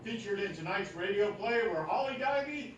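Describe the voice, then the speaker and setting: A man's voice: high-pitched, expressive, normal speed, far from the mic, dull audio, a little hiss or hum. A man playing an old-time radio show announcer on stage. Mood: announcing. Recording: very echoey stage, loud music and crowd.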